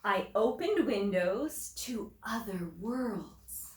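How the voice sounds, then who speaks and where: A woman teacher reading a picture book aloud to children, explaining simply and deliberately and putting questions to her listeners. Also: mid-range voice, lively intonation. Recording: roomy echo, distant microphone.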